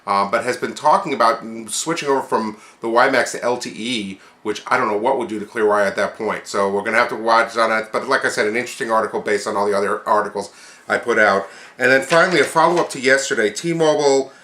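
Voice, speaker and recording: A man's voice: on the low side, fast, bright, forceful tone, echoey room. A man hosting a tech news podcast, running through items in an informal, chatty, conversational way with casual commentary.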